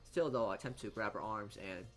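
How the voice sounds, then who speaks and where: A man with a fairly low voice narrates a visual novel for a let's play. He reads dramatically but haltingly, often tripping on words and starting them again. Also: a bit bright, faint music behind. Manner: halting reading, often stumbling and repeating words. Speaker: gamer reading a visual novel aloud.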